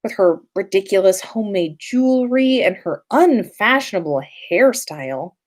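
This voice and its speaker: A woman narrating fan fiction aloud as a podcast host, in an expressive storytelling style. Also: medium pitch, moderate pace.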